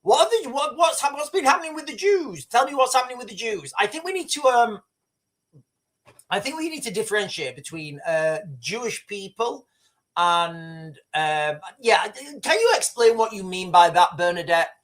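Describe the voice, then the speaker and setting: A man's voice: high voice, animated, moderate pace, fairly bright tone. Middle-aged male livestream host, loose rambling storyteller who swears and restarts sentences. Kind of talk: casual live commentary and storytelling.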